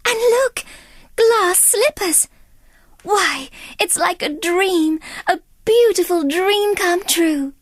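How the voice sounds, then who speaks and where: A woman voicing a gentle young maiden in a children's storybook, earnest and breathlessly emotional. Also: extremely high pitch, expressive, takes it slowly, no room sound, studio quality, voice pushed up, heavily acted. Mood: delighted, grateful. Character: gentle young maiden, delighted, pleading or heartbroken.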